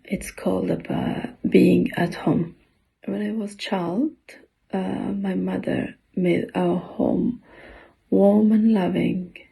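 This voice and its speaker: A woman in her forties from Afghanistan, with an Afghan accent, reading her own poem slowly and haltingly, pausing between phrases. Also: on the low side, creaky, dark tone, breathy edge, rough recording. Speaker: poet.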